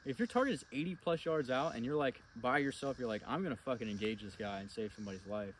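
A man's voice: mid-range voice, lively intonation, quick pace, rough recording. Man talking casually outdoors, some background noise. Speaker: firearms instructor. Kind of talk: casual instructional talk to camera. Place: outdoors in a wooded clearing at a flat range.

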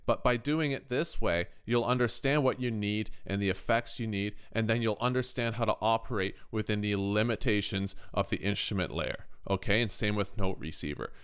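Low, clear-voiced man giving a tutorial. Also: a bit bright. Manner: conversational, checks in with "right?" and "okay?". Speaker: tutorial host, music production YouTuber. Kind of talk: casual explanatory software tutorial.

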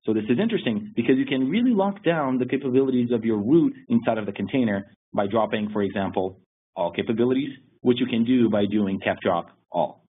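A man's voice: medium pitch, brisk. A man presenting a technical webinar, explaining things steadily with occasional restarts. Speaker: security lead presenting a webinar. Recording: husky voice over a tinny phone-like line.

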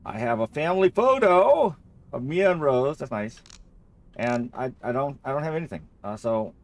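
Male gamer for casual playthrough commentary: lively swooping pitch, fry in the voice, jokey and rambling. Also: moderate pace. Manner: rambling, jokey, repeats himself.

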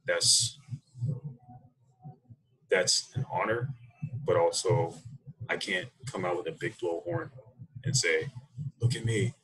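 A man with a very low, creaky voice and an American accent talks casually on a video call. His pitch moves around a lot as he thinks aloud in halting, reflective phrases. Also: a bit bright, low-quality recording. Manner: halting, thoughtful, unhurried phrasing. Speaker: interview guest, coffee roaster. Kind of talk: reflective casual interview talk. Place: small room indoors, video call. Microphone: computer mic on a video call.

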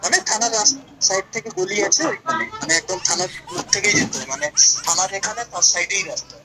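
A man's voice: high voice, lively intonation, fast, over a noisy crowd, poor audio. A man with a West Bengal accent chatting casually on the phone, questioning and insistent. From West Bengal, India. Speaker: prank call recipient.

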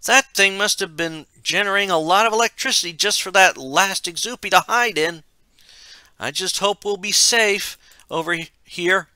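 A man voicing an excitable, eager young man in a loud voice for an adventure story. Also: high voice, moderate pace, smooth, dry recording, pitched above the performer's own voice. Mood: anxious excitement.

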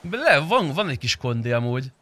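A man's voice: medium pitch, very animated, bright, forceful tone. A man, a streamer, bantering casually in character during an online roleplay game. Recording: faint game car noise behind. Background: game car and ambience sounds.

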